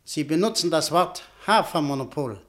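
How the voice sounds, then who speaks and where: A man's voice: on the high side, animated, moderate pace, a bit bright. An actor playing a blunt, arrogant rich male industrialist who talks curtly and means business, in an old German radio play. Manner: curt, businesslike. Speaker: wealthy industrialist.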